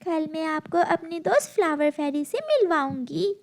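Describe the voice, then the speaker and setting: A sweet little girl bird for a kids cartoon, super high voice, innocent and earnest. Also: expressive, moderate pace, a little hiss or hum. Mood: cheerful. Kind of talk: Hindi moral-story cartoon dialogue.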